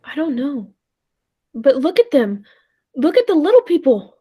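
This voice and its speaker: A woman's voice: sits high, sing-song, measured pace, muffled recording. A woman playing an earnest, thoughtful time traveller who narrates a radio play with dramatic storytelling. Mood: tense, dread.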